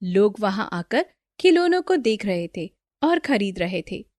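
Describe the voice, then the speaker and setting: A woman's voice: mid-range voice, big pitch swings, a little slow, darkish tone, no room sound, studio quality. A woman storyteller reading a scripted children's moral story as narrator.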